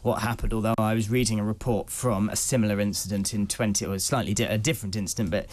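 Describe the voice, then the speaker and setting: A British male correspondent with a somewhat low voice explains the news. He is articulate and earnest, speaking as if advising listeners. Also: fairly even intonation, fast, a little mellow. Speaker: travel correspondent, guest.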